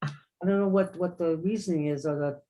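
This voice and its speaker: A woman's voice: lowish voice, normal speed, mellow. A woman musing conversationally as a participant in a casual discussion on a video call. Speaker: participant commenting.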